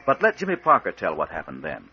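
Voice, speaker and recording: Guy announcing an old radio thriller, super dramatic n lively, dull sound, faint music. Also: low-pitched, fast talker, husky. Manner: dramatic, portentous. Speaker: announcer and narrator. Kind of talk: 1940s radio announcing, scripted narration. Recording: muffled vintage radio recording.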